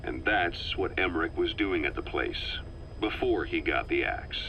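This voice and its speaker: An actor playing a serious military intelligence officer in a video game, delivering a measured, explanatory briefing. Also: male voice, sits low, lively intonation, pushed and bright, full character voice. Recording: dull lo-fi tape, faint noise.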